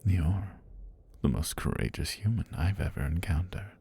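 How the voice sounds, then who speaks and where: A man voicing an ancient serpent god, calm, predatory yet tender, in a slow, formal, whispery ASMR roleplay with a husky, breathy low voice. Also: a little hiss or hum. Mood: wistful, gentle. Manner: slow, deliberate, formal speech with whispery delivery. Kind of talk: intimate ASMR roleplay monologue.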